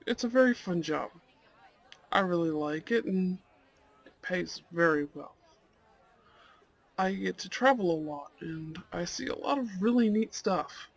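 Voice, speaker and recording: Man playing a husky, high-voiced shy goth date. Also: animated, measured pace, long pauses, exaggerated voice. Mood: friendly, enthusiastic. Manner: hesitant, soft-hearted, nervous little laughs. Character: shy, polite, dorky young goth man on a blind date. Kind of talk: romantic roleplay ASMR.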